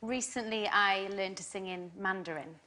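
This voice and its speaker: A young Welsh woman chats as a talk show guest in a studio. She laughs easily, fills pauses with ums, and her voice sits mid-range for a woman. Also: mid-range voice, background noise. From Wales. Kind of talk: casual chat. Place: TV studio with audience.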